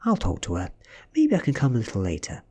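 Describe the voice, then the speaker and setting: Soft, eager British schoolboy, voiced by a man. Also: lowish voice, huge pitch swings, fast, slightly rough, exaggerated voice. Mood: hopeful, eager. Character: shrewd, eager teenage schoolboy.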